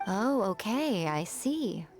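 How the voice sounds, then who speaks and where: Sly, haughty fox-spirit familiar. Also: female voice, medium pitch, very animated, darkish tone. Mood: wry. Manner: teasing, condescending, unhurried. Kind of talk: anime game voice acting. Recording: music behind the voice.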